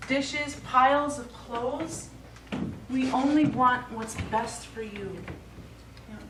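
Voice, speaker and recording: Distant and echoey on a theatre stage, a woman plays a worried middle-aged daughter. Her voice is fairly high as she pleads with her old father. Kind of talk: live stage play acting. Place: small indoor theatre stage. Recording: echoey theatre, distant mic, faint noise.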